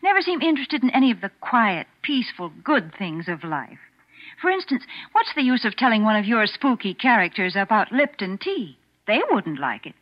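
A woman's voice: very animated, moderate pace, no room sound. A woman reads a 1940s radio commercial, cheerful and chatty, her voice rising and falling. The broadcast sounds dry and dull. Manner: cheerful, chatty sales pitch. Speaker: announcer.

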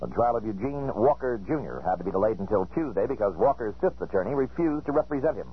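A man's voice: fairly low pitch, very animated, quick pace, slightly rough. An American man reading a scripted local radio newscast. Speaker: local radio news reader. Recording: dull lo-fi 1970s radio broadcast.

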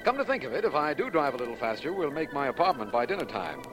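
A man's voice: medium pitch, sing-song, fast talker, natural voice. Genial, skeptical radio district attorney played by a man. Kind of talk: 1940s radio drama acting. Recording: noisy vintage 1940s radio recording.

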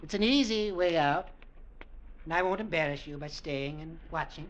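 An actor playing a cold, taunting, middle-aged businessman uncle in an old radio drama, with a sneering, deliberate delivery. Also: a little high, a bit bright, a little hiss or hum, close to an everyday voice. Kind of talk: 1940s-50s radio drama acting. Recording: dull vintage radio recording.

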